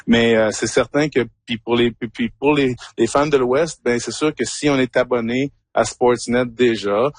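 A man's voice: mid-range voice, steady tone, moderate pace, hardly any pauses, no room sound. A man of about fifty, a hockey commentator answering interview questions in a thoughtful, explanatory way while searching for his words.

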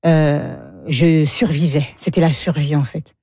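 Low, mellow-voiced woman reflecting openly. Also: fairly even intonation, a little slow, slightly rough, no room sound, studio quality, soft background music. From Congo. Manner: reflective, frank, laughs often. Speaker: guest. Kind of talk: emotional personal interview.

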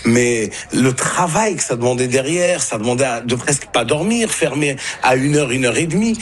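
A man from Côte d'Ivoire, a stand-up comedian talking in an animated, conversational way and often repeating words. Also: medium pitch, normal speed. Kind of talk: conversational storytelling and interview talk.

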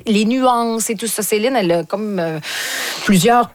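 A woman with a Québécois accent, a performer answering in a radio interview, lively and halting, with many restarts and fillers. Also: medium pitch. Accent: Québécois French.

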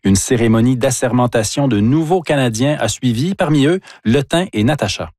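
A man reads the regional news in a steady, formal cadence. His pitch is ordinary and the booth sound is dry. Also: moderate pace, no echo. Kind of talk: read news bulletin.

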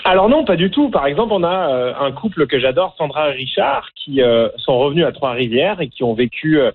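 A middle-aged man with a French accent from France, speaking in a fairly high voice. He hesitates a lot, with many fillers and restarts. He sounds thin and tinny, as over a phone line. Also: expressive, fairly quick, breathy edge. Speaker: documentary filmmaker, guest. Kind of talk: radio interview.

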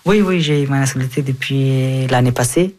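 A man's voice: medium pitch, normal speed, darkish tone. Young man answering casually. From Afghanistan. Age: young adult. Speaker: interviewee.

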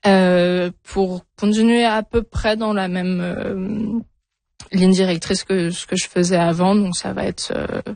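A young woman from France talks through her answers at an even, middle pitch, stopping and starting with frequent fillers. Her voice sits close and dry, with no room sound around it. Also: no echo. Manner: hesitant, many fillers and restarts. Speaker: interview guest, new PhD in physics. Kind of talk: radio interview, casual answers.

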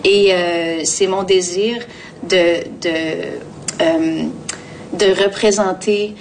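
Woman, Canadian French accent, lowish voice, halting interview answers, recorded at a distance, faint rumble. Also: steady tone. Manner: halting, repeats words while searching. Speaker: theatre artistic director, interview guest.

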